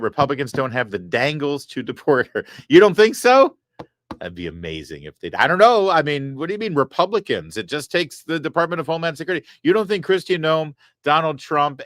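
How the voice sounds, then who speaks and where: Male stream host, mid-pitched, wry and chatty, dry close studio sound. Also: fairly bright tone, no room sound. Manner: chatty, wry, quick asides. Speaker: talk show host reading viewer super chats. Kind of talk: casual live-stream chat. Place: studio set.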